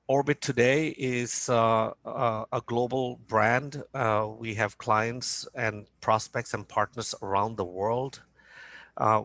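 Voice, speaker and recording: A man's voice: mid-range voice, fairly bright tone, no room sound. A man from Norway speaking in a business panel talk as a company founder, hesitant with many fillers and restarts.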